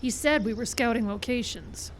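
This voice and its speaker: A woman's voice: fairly high pitch, normal speed, natural voice. A woman playing a skeptical, level-headed film crew member in a live radio play, with a dry, reasoning manner. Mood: skeptical, patient. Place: live radio show in a park. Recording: live stage recording with background noise.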